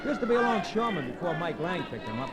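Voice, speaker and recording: A blustering Brooklyn fight fan from a vintage radio mystery. His slangy, somewhat high voice swings widely with excitement, under heavy noise from an old recording. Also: fast, over a noisy crowd. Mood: rowdy, excited. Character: brash, excitable Brooklyn sidekick, working-class fight fan. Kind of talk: 1940s radio acting.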